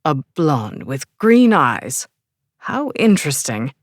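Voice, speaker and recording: A woman's voice: low-pitched, big pitch swings, slow pace, no room sound, clean studio sound, full character voice. A woman voicing a teasing young male vampire with an English accent, playful and mocking. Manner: playful and mocking, sing-song.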